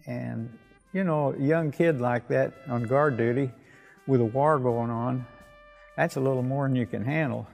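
Elderly man, creaky voice, halting reminiscence, rambling, faint music. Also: mid-range voice, darkish tone. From Evansville, Indiana, United States. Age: 80s or older. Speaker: war veteran. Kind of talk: spoken reminiscence.

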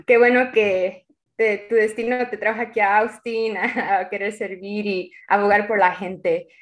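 A woman interviewing a guest in Spanish, encouraging him and pausing with fillers as she asks her questions. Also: mid-range voice, fairly even intonation.

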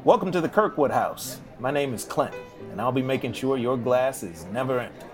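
A man's voice: mid-range voice, lively intonation, moderate pace, close to an everyday voice. A man acting a friendly, courteous Civil War era bartender in a period drama, polite and inquisitive, with music underneath. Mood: courteous, chatty.

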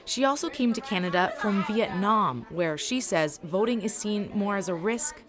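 A woman's voice: mid-range voice, expressive, moderate pace, crowd noise. A woman narrating as a podcast host from a script.

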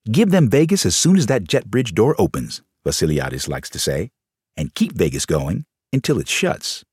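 A man's voice: fairly low pitch, a little mellow, slightly rough, no echo, studio quality. A man reading scripted nonfiction as an audiobook narrator, with a dramatic, showman-like delivery.

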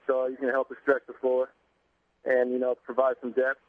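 A young man calling in to a radio interview by phone, relaxed and laid-back with many fillers. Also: mid-range voice, moderate pace, dry recording. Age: young adult. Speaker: basketball player calling in. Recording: tinny phone line.

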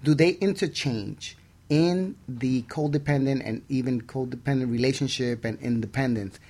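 Mid-pitched male radio co-host, casual and halting. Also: darkish tone. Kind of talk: casual talk show hosting.

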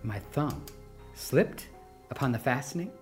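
Gothic horror madman: medium pitch, highly animated, halting, obsessive, theatrical confession of murder. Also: soft background music. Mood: hushed, sly. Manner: intense, halting, swinging from hushed to exclaiming. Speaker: dramatic reader narrating a horror story.

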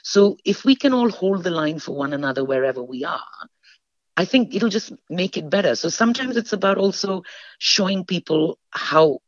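A woman born in India and raised between the UK and India, speaking as an interview guest and telling stories in an animated, emphatic way. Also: normal speed, no room sound. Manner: animated, emphatic storyteller with lively pitch jumps. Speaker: guest, stage performer. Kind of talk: interview conversation. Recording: dull, dry sound.

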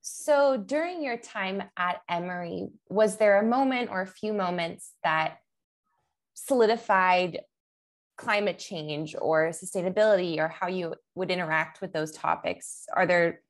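A woman's voice: fairly low pitch, measured pace. A young woman co-hosting a podcast, interviewing a guest with long, loosely built questions as she searches for words.

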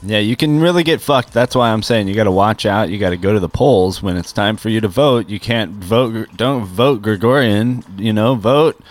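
A man's voice: fairly low pitch, moderate pace, hardly any pauses. A man co-hosting a casual comedy podcast, tossing in wisecracks and mock-grandiose asides. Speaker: podcast co-host.

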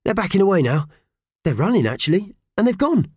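Cheerful storybook mouse, small and male, done by a man: high, chirpy, excitable, very animated. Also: fairly quick, husky, no room sound, clean studio sound, exaggerated voice. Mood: excited.

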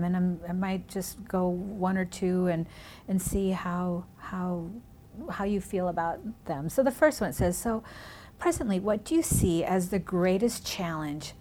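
A woman's voice: on the low side, a little mellow, a little hiss or hum. A woman whose first language is Spanish, hosting a reflective conversation about education, with frequent restarts. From California, raised in Minnesota. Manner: reflective, many restarts and "you know"s. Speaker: host.

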